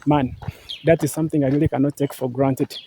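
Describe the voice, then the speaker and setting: A man's voice: mid-range voice, flat delivery, a little fast, warm tone, a little hiss or hum. A young Ugandan man with a Ugandan English accent, talking casually to camera on a walk outdoors, repeating himself often and thanking viewers again and again. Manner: repetitive, earnest, many fillers and thank-yous. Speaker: vlogger. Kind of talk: casual vlog talk to camera. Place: outdoors, walking through green farmland.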